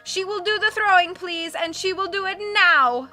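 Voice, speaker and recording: A woman's voice: moderate pace, bright, forceful tone, soft background music. A woman voicing a grand, theatrical, bossy female fortune teller in a storybook. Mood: grand, commanding. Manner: booming, grand, third-person speech.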